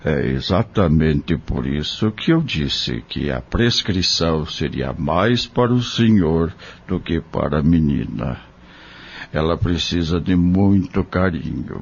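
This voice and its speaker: A kindly Brazilian doctor played by a man in an audio drama, counselling patiently. His voice is low and unhurried, with lively swings in intonation as he gently explains. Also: gravelly, husky, exaggerated voice. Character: kindly male doctor, patient, caring spiritist. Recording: dull lo-fi audio.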